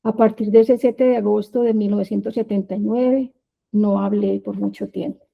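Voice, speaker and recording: A woman in her fifties with a Medellín Colombian accent, telling her life story as a prepared talk in measured, short phrases. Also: medium pitch, steady tone, gravelly, narrow, tinny audio. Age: 50s.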